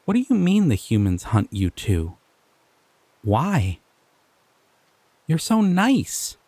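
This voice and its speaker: Man voicing a shy, lively-voiced slime creature. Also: on the high side, takes it slowly, dry recording, heavily acted. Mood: gentle, curious. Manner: soft-spoken, hesitant, trailing off. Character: shy gentle male slime creature, lonely and flustered. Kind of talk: audio roleplay.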